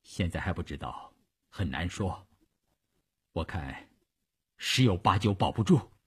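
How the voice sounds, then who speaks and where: Weary army surgeon, read by a man: lowered, breathy, pessimistic, subdued, halting. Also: animated, sharp tone, heavily acted. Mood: grim resignation.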